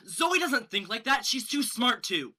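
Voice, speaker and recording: Young woman playing worried teenage best friend, bright forceful voice, stammering, earnest, student theatre acting. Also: a little high, sing-song, measured pace, full character voice. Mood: defensive. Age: young adult. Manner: flustered, stammering, emphatic. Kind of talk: student one-act stage acting.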